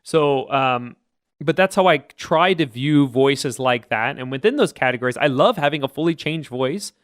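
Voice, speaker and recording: A man talks through choir voice types in a relaxed, explanatory podcast chat, often hedging his points. His voice sits at an ordinary middle pitch. The sound is dry and close, with no room around it. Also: moderate pace, smooth, no echo. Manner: thoughtful, explanatory, hedging with "I would say". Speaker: podcast co-host, choir teacher.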